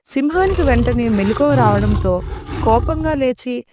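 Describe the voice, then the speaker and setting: A woman's voice: on the high side, animated, a little slow. A woman storyteller reading scripted narration for a children's story. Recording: music behind the voice.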